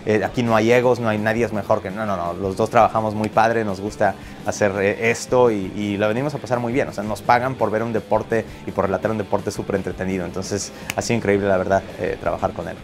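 A man's voice: on the low side, fairly even intonation, fast, hardly any pauses, faint music behind. A man in his thirties with a Mexican accent, a hockey broadcaster chatting enthusiastically in an interview in a locker room. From Mexico City. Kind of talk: interview answers.

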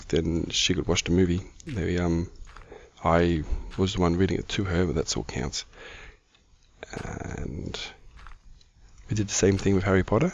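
Croaky, low-voiced man. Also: muffled recording. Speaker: gaming video host. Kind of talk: casual Minecraft let's-play commentary.

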